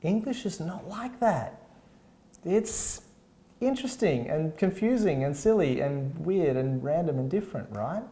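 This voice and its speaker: A man's voice: a little high, animated, measured pace, mellow. A man in his thirties with an Australian accent, hosting an interview in a chatty, rambling way on a lapel mic in a small studio. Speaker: interviewer, host. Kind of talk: casual interview chat. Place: small studio set with plants.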